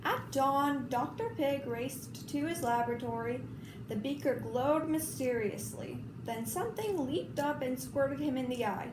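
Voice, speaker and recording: A woman reading a children's story aloud in an expressive, informal way. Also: high voice, a little slow. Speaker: storybook reader. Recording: roomy, clearly noisy home recording.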